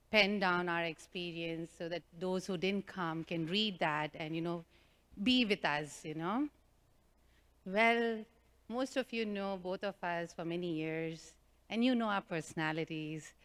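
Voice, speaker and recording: A woman telling a devotional group a personal story, animated and self-mocking, with a loud voice and frequent laughter. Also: medium pitch, clean tone. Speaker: devotional speaker. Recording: reverberant hall.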